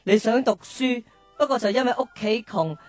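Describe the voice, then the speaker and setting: A woman playing a cheeky, sharp-tongued young schoolchild in a radio drama. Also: on the high side, normal speed, soft background music, lightly acted. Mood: curious, sympathetic. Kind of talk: childlike radio drama acting.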